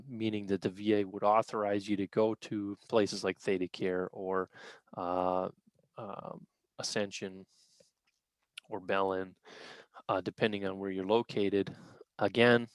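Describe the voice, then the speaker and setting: A man with a fairly low voice and an American accent gives an informal explanatory briefing. He talks haltingly, often pausing partway through a sentence. The sound is dry, with little room echo. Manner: halting, explanatory, frequent pauses mid-sentence. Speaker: county veterans service officer presenting benefits.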